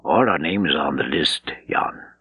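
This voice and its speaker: A man's voice: extremely low pitch, lively intonation, measured pace, creaky, breathy edge, no room sound, voice pushed down, heavily acted. A man voicing an urgent, earnest, weary eighteen-year-old resistance fighter in an audiobook. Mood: defiant. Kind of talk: audiobook character dialogue. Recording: dull, slightly lo-fi tape recording.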